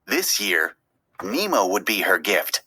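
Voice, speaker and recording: A woman reading a children's story aloud as an expressive, dramatic narrator. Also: rumbling low, takes it slowly, husky. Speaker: storybook reader.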